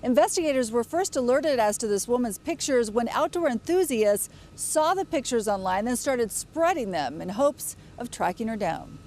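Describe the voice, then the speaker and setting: A woman's voice: a little high, expressive, normal speed, hardly any pauses, a little mellow. A woman giving a live television news report.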